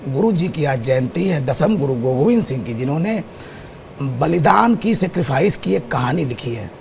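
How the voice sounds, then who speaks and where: A man with a sore, hoarse throat explains astrology as a guest on a TV show. His voice is gravelly and fairly high, with a faint hiss in the background. He moves steadily through lists of times and dates. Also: expressive, normal speed, a little mellow. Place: TV studio.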